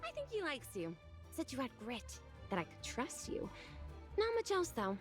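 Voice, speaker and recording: Clever girl scientist in a game, highish voice, really animated, coy n polite, music under it. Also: moderate pace. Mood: chatty, coy. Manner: bright-witted, polite, coy and teasing. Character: sunny, clever, defiant young girl scientist prodigy. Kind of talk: video game character dialogue. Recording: music behind the voice.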